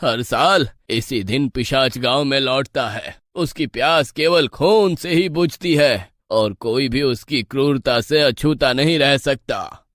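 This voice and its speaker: A man speaking in a grave, measured way about a village danger. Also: medium pitch, a bit bright, no echo, studio quality.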